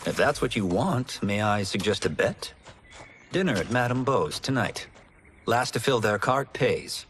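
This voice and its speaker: An actor playing a calm, sensible young male farmer with a steady, gently wry manner, in a video game cutscene. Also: on the low side, a little slow, slight background noise. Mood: earnest. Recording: game soundtrack music behind.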